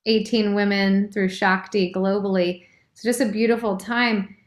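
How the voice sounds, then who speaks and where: A woman guest speaks reflectively at a middling pitch and thinks aloud with many fillers. Her voice carries a roomy echo, as if she is in a bare room on a video call. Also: steady tone, a little slow. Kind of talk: casual conversation. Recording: roomy, echoey sound.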